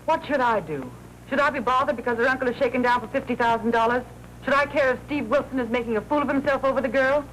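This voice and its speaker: A woman's voice: high-pitched, fast, a bit bright. Poised, stubborn 1940s girl reporter. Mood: guarded, cool. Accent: American. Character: poised, sharp, stubborn woman newspaper reporter. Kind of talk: 1940s crime film acting. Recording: noisy 1940s film soundtrack.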